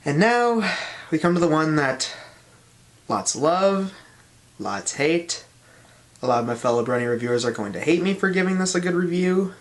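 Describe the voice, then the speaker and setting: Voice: mid-range voice, lively intonation, normal speed, long pauses. A reviewer giving blunt, opinionated scripted commentary with a sardonic manner. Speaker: reviewer, host of an online review show.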